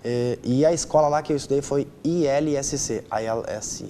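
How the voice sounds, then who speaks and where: A man's voice: mid-range voice, moderate pace, warm tone. Young southern Brazilian man, noisy recording. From Rio Grande do Sul, southern Brazil. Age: 20s. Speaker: interview guest. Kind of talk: conversational interview answers.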